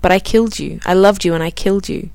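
A woman voicing an anguished, remorseful young male soldier in a read-aloud book, speaking softly and pleadingly. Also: mid-range voice, quick pace, gravelly, no echo, close to an everyday voice. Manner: soft, pleading.

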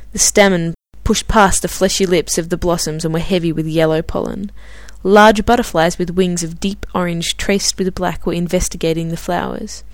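A woman's voice: on the low side, no room sound. An Australian woman hosting her own podcast, chatting in a self-deprecating way with filler words before reading a novel aloud. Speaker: podcast host. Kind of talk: casual chat, then reading a novel aloud.

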